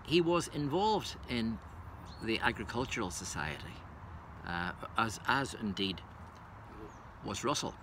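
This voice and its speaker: A man speaking as a poetry reader, introducing and reciting verse in a measured way. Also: fairly low pitch, expressive, noisy background.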